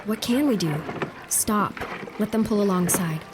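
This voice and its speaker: A woman's voice: medium pitch, normal speed, background noise, natural voice. Actress as a frustrated, blunt young noblewoman. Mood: concerned. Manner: blunt, exasperated. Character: frustrated, doubting young noblewoman traveling to her husband. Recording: audio drama with faint water ambience.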